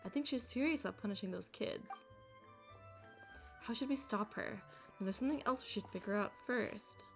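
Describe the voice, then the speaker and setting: A woman's voice: on the high side, moderate pace. Casual woman livestreamer over game music. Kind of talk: casual livestream commentary and reading aloud. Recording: music behind the voice.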